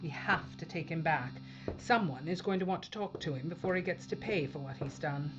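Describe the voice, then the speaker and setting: An actress playing a tough, blunt spaceship captain in a science-fiction audio drama, with a dry and defiant manner. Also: female voice, low voice, fairly quick, close to an everyday voice. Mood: bitter, wry. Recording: dull lo-fi sound over music.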